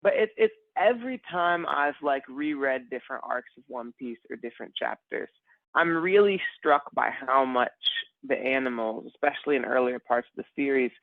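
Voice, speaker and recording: A man co-hosting a casual podcast, rambling and animated, calling his friend bro and man. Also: mid-range voice, normal speed, no echo. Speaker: podcast co-host. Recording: dry phone-like sound.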